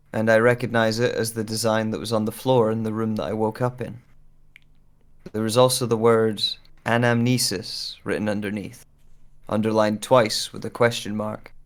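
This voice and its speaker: A man playing a sorrowful, intense amnesiac searcher in a measured audio drama voiceover. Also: fairly low pitch, creaky. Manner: measured, deliberate reading with pauses.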